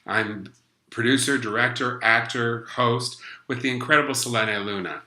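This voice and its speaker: A man's voice: medium pitch, normal speed, bright, forceful tone, echoey room. A man hosting his own live talk show, chatty and gushing with enthusiasm. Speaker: host.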